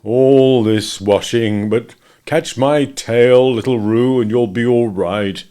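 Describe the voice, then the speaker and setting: A glum old donkey, as a man puts him on, grumbling at a slow, dragging pace. His lines come out heavy, low and put-upon. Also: warm tone, smooth, close to an everyday voice.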